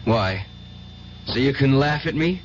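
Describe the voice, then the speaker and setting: A man's voice: mid-range voice, lively intonation, unhurried, close to an everyday voice. An actor playing a fervent, tormented young man with psychic powers in an old-time radio drama, earnest and intense. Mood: wounded, pleading. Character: fervent, tormented young male psychic nephew, devoutly religious. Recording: dull, hissy old radio recording.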